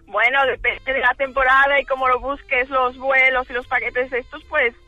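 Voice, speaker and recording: A woman from the Dominican Republic talks casually on a radio call. Her voice is high and very sharp, squeezed through a narrow phone line. Faint music plays behind her. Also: level tone, moderate pace, dry recording. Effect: telephone. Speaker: radio caller. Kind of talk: casual personal storytelling. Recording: tinny band-limited phone line.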